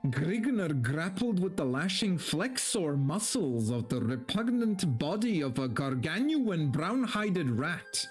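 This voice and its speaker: A man's voice: fairly high pitch, sing-song, slow pace, few pauses, soft background music. A man streaming a dramatic story reading, with theatrical narration and casual, mock-exasperated asides.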